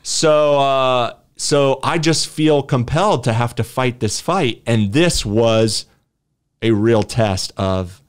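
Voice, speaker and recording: Male podcast co-host, middle-pitched voice, defiant and emphatic, casual rambling delivery. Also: takes it slowly, clear, resonant tone.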